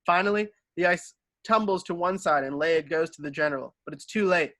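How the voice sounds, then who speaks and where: A man's voice: a little high, a little fast, a bit bright. A man reading screenplay stage directions as narrator of a table read.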